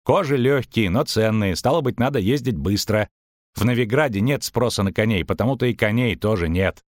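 Smug shapeshifter in halfling merchant form, male narrator acting: calm, proud, explanatory, dry close sound, storybook fantasy. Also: fairly low pitch, brisk, dry recording, close to an everyday voice. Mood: smug, matter-of-fact. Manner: self-assured, explanatory. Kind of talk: audiobook character acting.

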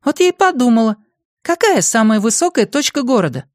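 Young girl, storybook character played by a man: raised pitch, tentative yet tough, thoughtful, close dry sound. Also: very animated, fairly quick, no room sound. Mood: earnest, reasoning.

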